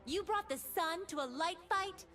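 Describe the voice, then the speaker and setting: An actress playing an optimistic, playfully sarcastic young mage of light in a video game. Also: female voice, very high voice, normal speed, faint music behind. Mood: cheeky, teasing. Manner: bright-eyed earnest, playfully sarcastic. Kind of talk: video game character voice lines.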